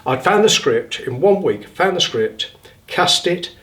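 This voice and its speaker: A man's voice: high voice, big pitch swings, measured pace, a bit bright. A man talking informally in an interview about his group's work. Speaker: theatre group member interviewed.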